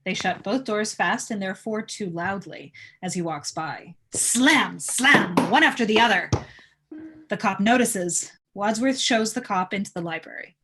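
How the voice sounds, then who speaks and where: A woman narrates a screenplay at an informal table read. Her voice sits at a medium pitch as she reads out scene headings and action. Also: animated, a little slow, fairly bright tone, breathy edge. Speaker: narrator reading stage directions.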